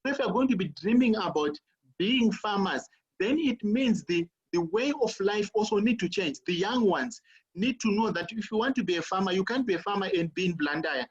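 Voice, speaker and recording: A man from Zimbabwe, a professor speaking on a panel, who talks haltingly and often repeats words as he searches for them. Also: sits high, lively intonation, slightly rough, muffled recording. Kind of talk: panel discussion talk on video call.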